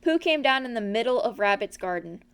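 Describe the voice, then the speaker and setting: Higher-voiced woman reading a children's story. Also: animated, fairly quick, smooth. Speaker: storybook reader. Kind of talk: scripted children's story narration.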